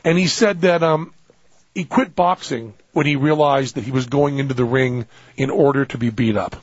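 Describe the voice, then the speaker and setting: A man with a Western Massachusetts accent hosting a radio show, telling stories in lively, animated bursts. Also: medium pitch, normal speed, husky, dry recording. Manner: animated storyteller, acts out quotes. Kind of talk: casual radio chat. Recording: dull radio audio.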